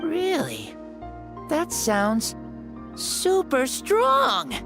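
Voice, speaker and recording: A curious little child in a fantasy game. The voice swings widely in pitch and takes its time, eager and wide-eyed, with music playing clearly behind it. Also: female voice, wildly swooping pitch, very slow, heavily acted. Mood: excited wonder. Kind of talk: video game voice acting.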